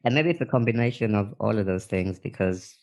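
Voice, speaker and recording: A man's voice: lowish voice, expressive, brisk, darkish tone. A man hosting an interview podcast, easygoing, often repeating words as he starts a sentence. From Africa. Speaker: podcast host. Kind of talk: casual interview chat.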